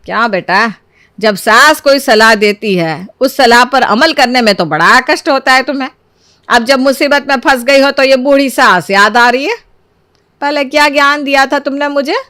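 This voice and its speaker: A sly elderly mother-in-law from a cartoon family tale, acted out by a woman. The voice sits fairly high and sounds very dry and close. She scolds theatrically, with sarcasm and bossy needling. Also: moderate pace, bright, forceful tone, no room sound. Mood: sarcastic scolding.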